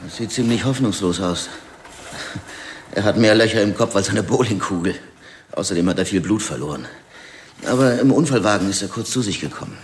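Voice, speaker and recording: An actor playing a queasy, downbeat police detective in a radio drama, speaking in a blunt, hesitant, wry way. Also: male voice, lowish voice, animated, a little fast, pauses often, natural voice. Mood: grim, reporting. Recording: faint location-style ambient noise.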